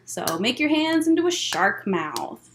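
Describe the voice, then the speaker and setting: A woman with a high, very lively voice hosts story time for little children. She speaks at a slow pace in simple phrases, encouraging her young listeners as she explains and reads aloud. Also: background noise.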